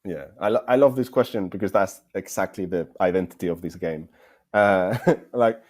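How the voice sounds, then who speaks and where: Deep, mellow-voiced Spanish man. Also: moderate pace. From Spain. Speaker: game developer, guest speaker. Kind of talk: conversational interview answers.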